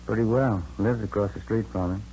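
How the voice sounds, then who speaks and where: A man's voice: on the low side, steady tone, fairly quick, close to an everyday voice. Terse American detective partner played by a man, muffled recording. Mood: reporting. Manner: matter-of-fact, terse. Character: plain-spoken male police detective partner, reporting details. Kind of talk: 1950s radio drama acting. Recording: muffled old radio recording, faint hum.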